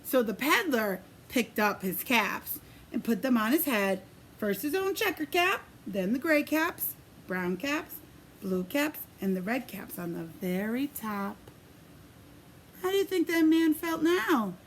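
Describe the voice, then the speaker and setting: Very high-pitched, animated woman reading to preschoolers. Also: slight background noise. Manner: sing-song, expressive, pausing to ask children questions. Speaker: preschool teacher. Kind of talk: animated picture-book read-aloud for young children.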